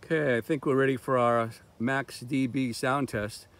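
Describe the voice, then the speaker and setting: A man's voice: medium pitch, steady tone, moderate pace, a bit bright. A man giving casual product demo commentary as a gear reviewer, talking outdoors in the open. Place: open park lawn outdoors. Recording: outdoor recording with street traffic noise. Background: passing cars, outdoor ambience.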